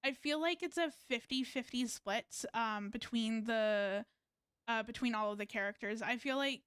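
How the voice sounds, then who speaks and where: A woman game streamer with a smooth, fairly high voice and a dry, close sound. She talks casually, in a halting way, as if thinking aloud. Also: a bit bright, dry recording. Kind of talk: casual live gaming stream chat.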